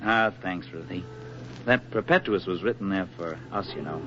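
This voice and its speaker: An actor playing an affable, earnest young American soldier and sweetheart in a 1940s radio drama. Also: male voice, sits low, normal speed, lightly acted. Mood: tender, earnest. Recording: muffled, noisy 1940s radio recording.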